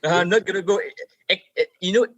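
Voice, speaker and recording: A man's voice: high voice, fast talker, a bit bright, highs cut off. A young man from France speaking English with a French accent, chatting animatedly as a podcast guest, swearing, laughing and repeating words. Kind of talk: casual chat.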